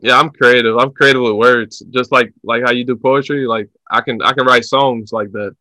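A man hosting a casual interview, talking haltingly, repeating words and saying "like" a lot. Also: mid-range voice, fairly even intonation, sharp tone, no room sound, low-quality recording. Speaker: host, interviewer.

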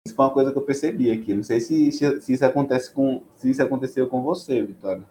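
A man's voice: mid-range voice, fast talker, mellow, a little hiss or hum. A man from Bahia with a Bahian accent, talking casually and restarting his sentences often. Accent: Bahian Portuguese. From Bahia, Brazil. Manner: hesitant, many restarts and fillers. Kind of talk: casual conversation.

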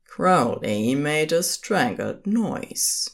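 A woman with a very low voice narrates a story from a script. Her delivery is slow and measured, with lively movement in the pitch.

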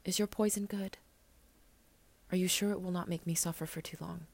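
A woman reading an audiobook, voicing a gentle, grave little boy prince who speaks softly and often in a whisper. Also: fairly low pitch, fairly even intonation, normal speed, creaky, voice pushed up. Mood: wistful. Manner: soft, wistful, often whispered.

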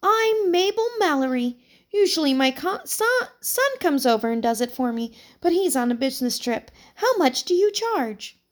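A woman voicing a frail, kindly old lady of ninety-two with a feeble, high-pitched, gushing voice. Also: very animated. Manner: chirpy, slow and gushing.

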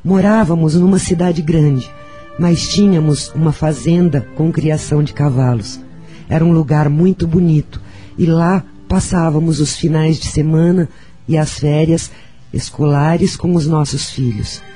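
An actress playing a gentle, motherly Brazilian woman spirit, reflecting solemnly in a radio drama monologue. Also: low voice, measured pace. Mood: reminiscing. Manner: solemn, reminiscing. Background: background music.

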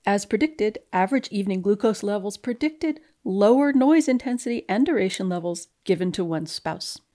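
A woman's voice: medium pitch, lively intonation, normal speed, warm tone. A woman reading formal scientific text aloud in a precise, straight-faced manner. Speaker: guest reader, scientist. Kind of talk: dramatic reading aloud of a research paper.

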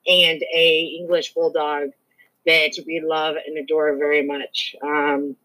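A woman with a Kentucky accent co-hosts a casual podcast. Her voice sits a little low, and she talks in a sociable way, laughing often. She sounds like someone in her thirties. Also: little pitch movement, slow pace, pushed and bright, poor audio. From Louisville, Kentucky. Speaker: podcast co-host.

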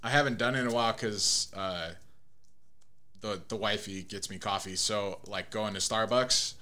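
Podcast host, male, bright ribbing banter. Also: lowish voice, fairly even intonation, normal speed. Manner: joking, laughing, plays soundboard clips. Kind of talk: casual chat.

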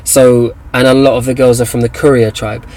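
A young man with an English accent talks in a relaxed, everyday way, often pausing on fillers and starting his sentences over. His voice sits at an ordinary middle pitch. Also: poor audio. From England. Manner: hesitant, many fillers and restarts. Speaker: guest, activist. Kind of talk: casual interview talk.

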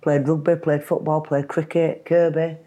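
A woman's voice: low-pitched, flat delivery, moderate pace, dark tone. A middle-aged woman from Leeds with a Yorkshire accent, a podcast guest recalling her childhood in a chatty, laughing way. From Leeds, England. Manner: chatty storyteller, laughs often. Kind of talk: casual podcast interview.

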